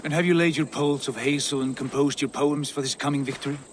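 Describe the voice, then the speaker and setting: An actor playing a proud, zealous Viking jarl in a video game, with a grandiose, declamatory delivery. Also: male voice, on the high side, fairly even intonation, quick pace, slight background noise, natural voice. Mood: impatient. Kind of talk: video game cutscene acting.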